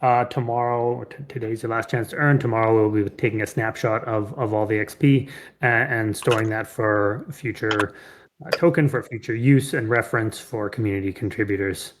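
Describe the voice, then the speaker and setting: A man's voice: on the low side, fairly even intonation, moderate pace, a little hiss or hum. A man co-hosting a community call, explaining plainly with a few fillers. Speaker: co-host. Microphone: headset with noise cancellation.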